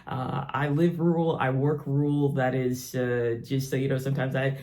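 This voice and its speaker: A man's voice: mid-range voice, flat delivery, normal speed, darkish tone. A man with a Southern US accent giving a casual spiritual talk in an earnest, reflective, conversational way. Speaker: spiritual teacher and channel host. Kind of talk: casual monologue teaching.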